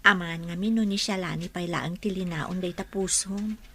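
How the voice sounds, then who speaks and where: A woman playing a gentle young working woman and devoted daughter in an Ilocano audio drama. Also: lowish voice, steady tone, moderate pace, slightly rough. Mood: doubtful.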